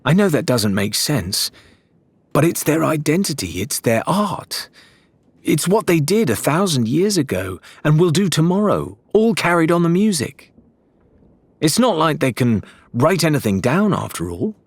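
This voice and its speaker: A man's voice: on the high side, big pitch swings, a little slow, husky, no echo, pitched above the performer's own voice, heavily acted. A man voicing an earnest, thoughtful woman pirate in an audiobook, explaining things expressively.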